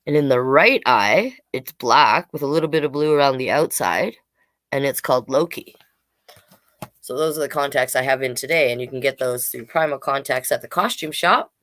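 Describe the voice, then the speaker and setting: Woman, low pitch, children's storytime host, informal, chatty asides. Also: moderate pace, a bit bright. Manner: informal, interjects comments while reading. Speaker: drag king storyteller, host. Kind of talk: reading children's picture books aloud with chatty asides.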